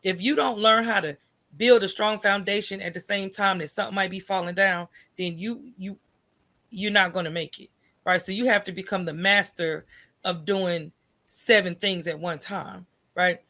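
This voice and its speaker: American woman on a podcast, bright punchy voice, tells stories real candid and colloquial. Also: medium pitch, fairly even intonation, normal speed. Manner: colloquial, candid, often ends phrases with "right?". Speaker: podcast guest, entrepreneur. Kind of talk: animated conversational storytelling.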